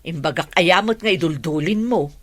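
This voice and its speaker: A woman's voice: lowish voice, normal speed, lightly acted. A woman playing a meddling, suspicious sister-in-law in a radio drama, with a Filipino accent. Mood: suspicious, needling.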